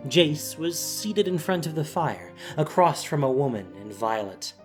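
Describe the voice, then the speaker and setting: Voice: mid-range voice, lively intonation, a little slow, faint music behind. A narrator reading a scripted fantasy story in a dramatic storytelling style.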